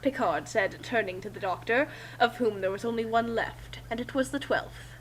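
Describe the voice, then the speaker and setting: A woman's voice: fairly high pitch, moderate pace, a little hiss or hum, low-quality recording. A woman reading a story aloud as amateur dramatic narration. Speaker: narrator and co-reader.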